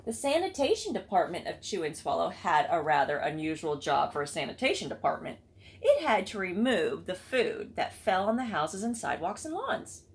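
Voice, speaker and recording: A woman's voice: fairly low pitch, very animated, normal speed. A woman reading a children's storybook aloud expressively, with chatty asides to listeners. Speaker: read-aloud book club reader.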